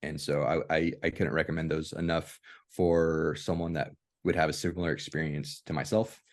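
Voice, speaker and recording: A man chatting casually on a video call, speaking haltingly as he feels for his words. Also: rumbling low, level tone. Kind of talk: casual chat.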